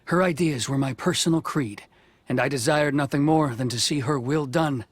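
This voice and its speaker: A man's voice: mid-range voice, no echo, close to an everyday voice. A man playing a stern, reserved young official. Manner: formal, reserved, measured. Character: stern young male samurai-style official, conflicted. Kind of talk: video game voice acting. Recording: game soundtrack music faint behind.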